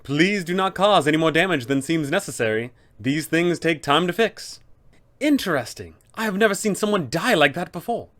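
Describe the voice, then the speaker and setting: A man's voice: fairly high pitch, expressive, normal speed, a bit bright, pitched above the performer's own voice. A man voicing an officious, polite, fussy undead tomb custodian with formal announcer phrasing, as a tabletop villain. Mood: fascinated, fussy.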